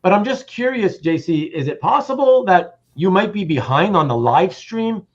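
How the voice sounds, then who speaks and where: A man's voice: sits high, expressive, moderate pace, muffled recording. A man hosting a casual livestream about comic art, reading out chat comments and rambling with repeated words and self-interruptions.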